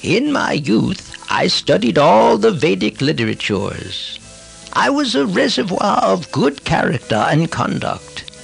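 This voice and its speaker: Man acting as remorseful fallen priest of ancient India, very gravelly, animated swooping pitch, solemn audiobook dramatization. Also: a little slow, faint music behind, full character voice.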